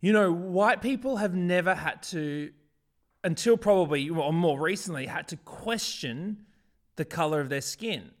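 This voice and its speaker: An Australian man with a distinctly high voice for a male speaker. He talks casually and reflectively, sharing anecdotes. He is emphatic, building each point phrase by broken phrase. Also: lively intonation, unhurried.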